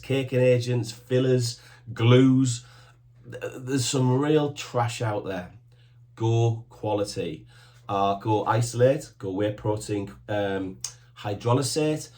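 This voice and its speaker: A man's voice: medium pitch, a little mellow. A man in his fifties giving supplement and bodybuilding advice straight to camera, in short emphatic commands and an enthusiastic, plain-spoken way. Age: 50s. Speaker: supplement shop owner giving fitness advice.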